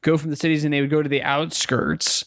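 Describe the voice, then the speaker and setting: A man with a slightly higher-than-average voice talks casually as a podcast co-host. He repeats and rephrases as he goes, and the recording is very dry, with no room sound. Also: level tone, fast, fairly bright tone, no echo. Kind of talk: casual podcast chat about video games.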